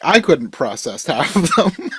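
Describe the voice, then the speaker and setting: A man's voice: on the high side, very animated, a little slow, husky, no room sound. A man hosting a podcast, giving his reactions in casual, conversational chat. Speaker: podcast host.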